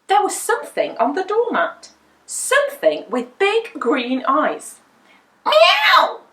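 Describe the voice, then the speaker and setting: Lady reading a kids story, super animated, kinda slow n dramatic, echoey room. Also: high-pitched. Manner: dramatic, deliberate emphasis. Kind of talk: animated children's picture-book reading. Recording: roomy indoor echo.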